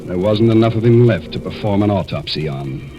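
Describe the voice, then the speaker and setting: An American actor playing a cold, scheming murderer who narrates deliberately and suspensefully in a 1940s radio mystery. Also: male voice, fairly low pitch, expressive, dull audio, lightly acted. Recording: vintage 1940s radio recording, music behind.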